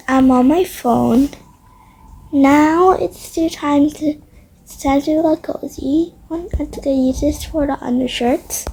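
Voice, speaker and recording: A young-sounding female speaker narrating a casual improvised game roleplay in a hushed, hesitant way, often trailing off into whispers. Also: high voice, creaky, slight background noise, poor audio. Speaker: roleplay video creator.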